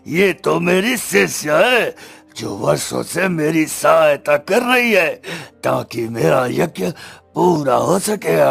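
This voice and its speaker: A man voicing a menacing, gloating sorcerer in a cartoon story, with a gravelly, theatrical delivery. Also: fairly high pitch, a bit bright, full character voice. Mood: wicked glee. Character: menacing male sorcerer plotting human sacrifices in a cave. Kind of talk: Hindi cartoon story voice acting.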